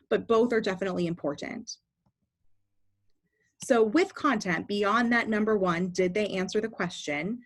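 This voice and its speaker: A woman with a fairly high-pitched voice giving a methodical lesson on judging speeches, asking and answering her own questions. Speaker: debate judge trainer. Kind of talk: instructional explanation.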